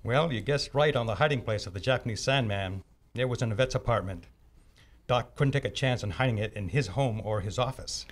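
A middle-aged man playing a genial, hard-boiled police lieutenant with a husky voice in an old-time radio drama on stage. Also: medium pitch, a little fast, lightly acted. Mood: matter-of-fact. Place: on a stage, live performance. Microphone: large vintage-style microphone on a stand.

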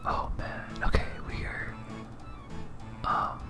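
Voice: slow, over music. A person whispering casual, rambling ASMR commentary while playing a game, thinking aloud. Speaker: host of a gaming series.

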